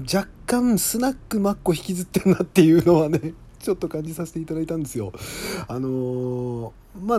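A man's voice: a little high, warm tone. A man hosting a solo podcast as its drama's writer, giving casual, chatty, admiring behind-the-scenes commentary. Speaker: podcast host and drama scriptwriter.

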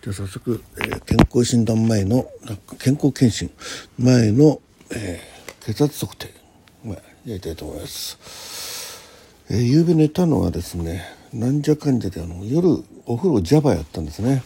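A man, probably middle-aged, chatting casually by himself as a radio host, halting and thinking aloud in short polite phrases. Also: mid-range voice, lively intonation, warm tone, slightly rough. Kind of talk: casual solo chat about daily life.

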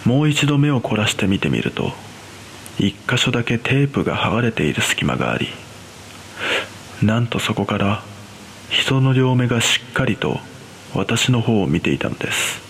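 Male horror narrator acting out an uneasy cleaner recounting something eerie; lowish pitch, expressive swings, noisy recording. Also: normal speed. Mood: eerie, shaken. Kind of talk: scripted first-person ghost story narration.

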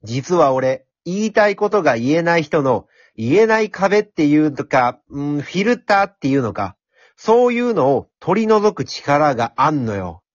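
A man voicing a brash, cheeky talking pencil in an amateur radio drama, speaking in rough, cocky, encouraging slang. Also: on the high side, sing-song, normal speed, no echo, highs cut off. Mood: boastful, explaining.

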